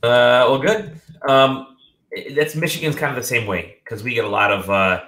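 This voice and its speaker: A man hosting a casual video game podcast from home, sick and a bit nasal, joking and clearing his throat often. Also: medium pitch, moderate pace, bright, forceful tone. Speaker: podcast host. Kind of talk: casual chat. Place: home room.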